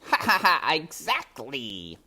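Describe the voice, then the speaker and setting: A villainous gentleman rat from a storybook played by a woman reader, gloating and mock-polite. He savours his slow words with sweeping, swooping pitch. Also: huge pitch swings, full character voice. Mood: mocking, amused. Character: gloating, theatrically courteous villainous male rat.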